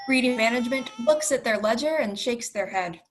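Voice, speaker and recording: A woman's voice: fairly high pitch, expressive, fairly quick, music in the background. A woman reading scripted narration and scene titles for a radio play. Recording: noisy recording with clicks.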